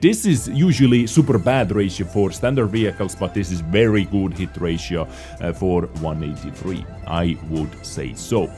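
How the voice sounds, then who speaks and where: A man whose first language is not English, hosting an emphatic gameplay commentary voice-over and swinging up and down in pitch and loudness. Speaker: gaming video host.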